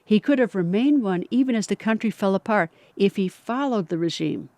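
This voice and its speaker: A woman's voice: medium pitch, expressive, brisk, darkish tone, no room sound. A woman reading scripted narration as the narrator of a documentary.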